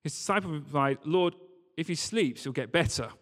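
Guy preaching in a big echoey hall, slightly high voice, reads bible bits then chats about them informally. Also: lively intonation, normal speed, fairly bright tone. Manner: conversational, informal asides. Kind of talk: sermon with scripture reading. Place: large reverberant hall, church service. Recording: long hall reverb.

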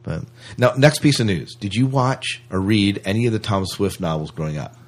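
A man with a very deep, husky voice hosts a casual podcast. He talks through the news in a conversational manner, rambling a little and hesitating as he goes. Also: normal speed. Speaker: podcast co-host.

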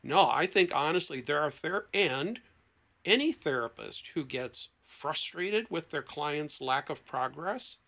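A man with a fairly high, very bright and forceful voice explains things like a therapist on a talk show. His delivery is conversational and emphatic, slipping into imagined dialogue to make a point. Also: lively intonation, a little slow, vocal fry. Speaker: therapist, podcast guest.

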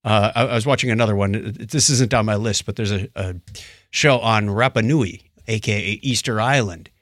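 A man's voice: lowish voice, moderate pace, fairly bright tone, dry recording, clean studio sound. A man co-hosting a podcast in a casual, storytelling way, acting out bits of imagined dialogue as he recounts things. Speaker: podcast co-host. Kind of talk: casual chat, recounting documentaries.

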